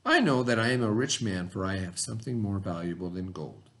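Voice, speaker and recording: A man reading aloud the part of a wise, proud father in a storybook, solemn, deliberate and gently firm. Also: fairly low pitch, big pitch swings, voice pushed down. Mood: firm, tender.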